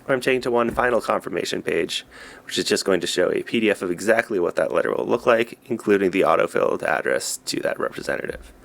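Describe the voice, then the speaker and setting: A man presents a software demo, step by step. His voice sits very low and has a clear fry to it. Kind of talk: walkthrough narration of a software demo.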